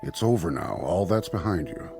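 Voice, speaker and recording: Radio play casting: reassuring American hospital doctor, lower register, expressive, explanatory, concerned. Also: male voice, normal speed, vocal fry, exaggerated voice. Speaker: actor. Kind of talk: radio drama acting. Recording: studio radio drama with faint music.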